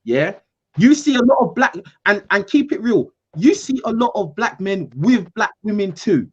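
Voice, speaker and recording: A man from the UK, hosting a call-in show in a casual, animated debate, repeating himself for emphasis. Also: high voice, normal speed, breathy edge, highs cut off. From United Kingdom. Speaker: host.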